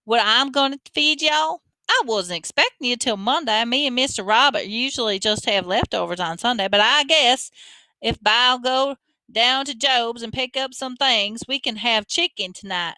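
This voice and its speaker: A woman reader acts out a feisty old grandmother with a Southern accent in a story. The voice rides high and forceful, clear and close. She is folksy, bossy and doting, given to exclamations. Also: normal speed, no echo, low-quality recording.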